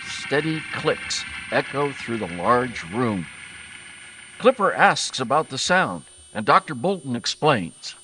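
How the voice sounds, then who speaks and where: A man's voice: medium pitch, big pitch swings, slow, pushed and bright, exaggerated voice, poor audio. A man acting as the suspenseful narrator of an old-time radio adventure serial. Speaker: announcer-narrator. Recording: live stage recording with clear noise.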